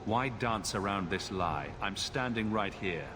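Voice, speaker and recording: An actor playing a blunt, defiant adventurer in a video game, speaking in a direct, challenging way. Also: male voice, fairly low pitch, expressive, a little slow, over music. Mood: firm, confronting.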